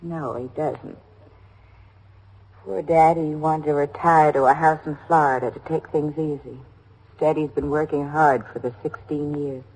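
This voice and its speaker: An actress playing a chatty, homely middle-aged American widow in a radio drama, rambling on eagerly to please. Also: female voice, low-pitched, fairly even intonation, unhurried, darkish tone, rough recording. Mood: resigned. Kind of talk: old-time American radio drama acting.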